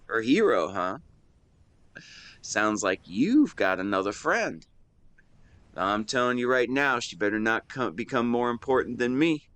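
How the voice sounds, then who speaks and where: A man playing an affectionate, playful young best friend in a romantic audio roleplay, speaking to the listener in a teasing, tender, expressive way. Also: medium pitch, unhurried, smooth, dry recording. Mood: admiring, earnest, then teasing, fond.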